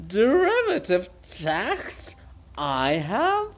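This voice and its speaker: A man's voice: high voice, huge pitch swings, drawn-out words, voice pushed up, exaggerated voice. A man voicing a vain, fanatical monument come alive in a dramatic stage farce, declaiming pompously and theatrically. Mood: outraged, pompous. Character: ranting, grandiose Bulgakov monument, vain and fanatical.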